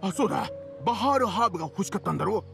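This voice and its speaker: An actor playing a rough-spoken, hearty and grateful merchant with a gravelly voice in a Japanese anime game. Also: male voice, high voice, very animated, rapid-fire, over music, exaggerated voice. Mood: grateful, surprised.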